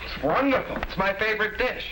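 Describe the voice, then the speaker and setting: An actor playing a polite, eager young American house guest in a 1950s sitcom-style film. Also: male voice, sits high, brisk, close to an everyday voice. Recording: noisy vintage 1950s film soundtrack.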